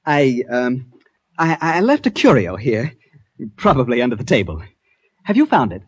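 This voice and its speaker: A man's voice: mid-range voice, huge pitch swings, moderate pace, husky, dry recording, muffled recording. An actor playing a fussy, anxious traveler, hesitant and a little prim, narrating his misadventure in a radio drama. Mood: hesitant, polite. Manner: hesitant, stammering, slightly prim.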